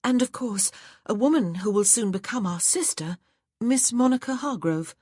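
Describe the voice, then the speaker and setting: A woman's voice: a little high, lively intonation, moderate pace, a little mellow, no room sound, clean studio sound, pitched above the performer's own voice. A narrator voicing an earnest young married woman who speaks eagerly in a period audiobook. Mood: urging. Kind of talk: audiobook character voice.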